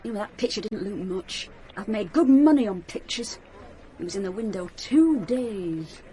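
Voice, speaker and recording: A woman's voice: medium pitch, animated, moderate pace, warm tone, a little hiss or hum, lightly acted, poor audio. An actress playing a defensive, grudging shop woman in a radio crime drama, grumbling in colloquial speech.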